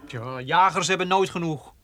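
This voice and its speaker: An actor playing a farmer's easygoing, flippant son in a radio play, talking casually. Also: male voice, a little high, moderate pace, pushed and bright, a little hiss or hum.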